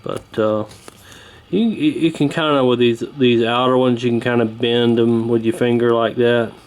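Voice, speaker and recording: A man giving a casual hands-on repair walkthrough, talking in an unhurried, folksy way while he works. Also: medium pitch, fairly even intonation, clean tone. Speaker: host of a radio repair channel.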